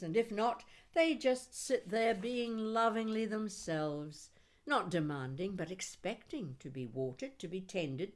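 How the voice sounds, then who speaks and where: A mature woman performs spoken-word poetry in a fairly low voice. She takes her time, placing each phrase deliberately and leaving dramatic pauses between them. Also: a little mellow. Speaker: poet reading own work.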